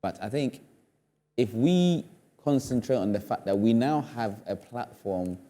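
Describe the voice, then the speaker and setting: A man's voice: medium pitch, lively intonation, unhurried, warm tone. A man speaking as a studio guest on a discussion show, earnest and emphatic, often repeating phrases for effect. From Ghana. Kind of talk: panel discussion.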